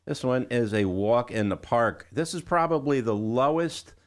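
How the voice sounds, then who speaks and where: A man's voice: mid-range voice, lively intonation, normal speed. A man hosting a music radio show, chatting informally with listeners and guests with a showman's patter. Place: radio studio.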